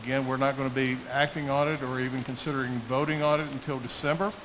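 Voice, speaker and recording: Behind a clear layer of hiss, a man chairs a town council meeting. His voice sits at a medium pitch. He speaks formally and deliberately, with halting pauses as he works through procedure. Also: little pitch movement. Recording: hissy public meeting room microphone.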